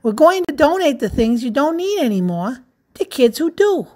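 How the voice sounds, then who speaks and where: A woman puts on a busy storybook cat mother giving orders. The voice is raised high and brisk, with a firm, bossy edge. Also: sing-song, mellow. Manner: bossy, calling out.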